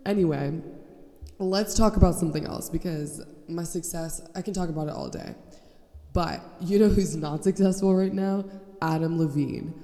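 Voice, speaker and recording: A woman's voice: fairly low pitch, moderate pace, mellow. A young woman hosting her own podcast, nasal from a cold, talking in a blunt, sarcastic, swearing rant. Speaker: podcast host. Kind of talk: casual solo podcast rant. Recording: echoey roomy sound, mic held wrong.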